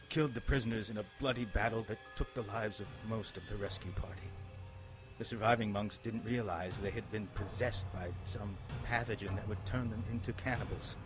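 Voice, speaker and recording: A man's voice: fairly low pitch, lightly acted. A man playing a dying, bitter, pious monk in a radio play, stern and fatalistic. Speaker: radio drama actor. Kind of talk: dramatic radio play storytelling.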